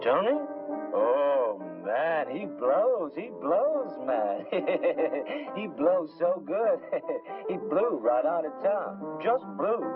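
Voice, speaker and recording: An actor playing a giggly hipster jazz musician in a 1950s film, drawling in chuckly beatnik slang. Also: male voice, fairly high pitch, sing-song, very slow, heavily acted. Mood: loose, sly. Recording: tinny old film soundtrack, loud music.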